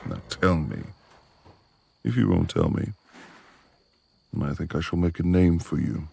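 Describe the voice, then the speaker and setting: A man playing a gruff, reclusive lord of the manor in a romantic ASMR audio roleplay, with gravelly, formal, imperious speech and a tender streak. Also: low voice, animated, measured pace, warm tone, exaggerated voice. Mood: stern, commanding, then teasing, brooding. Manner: formal old-fashioned diction, imperious.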